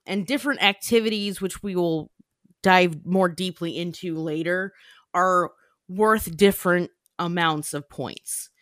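A woman's voice: lowish voice, fairly bright tone, no echo. Casual young woman, close dry podcast sound. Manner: slangy, playful, informal. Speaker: podcast host. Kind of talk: casual explanatory podcast chat.

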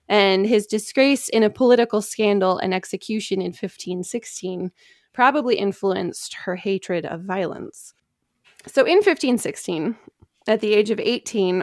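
A woman's voice: medium pitch, no echo, clean studio sound. A woman hosting a podcast, telling history from prepared notes in an informal, explanatory way with casual asides.

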